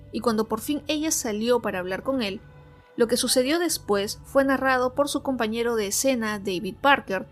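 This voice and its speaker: A woman hosts a true crime show, reading a scripted story. Her voice sits a little high and her phrasing is measured and clear. Light music plays underneath. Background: background music.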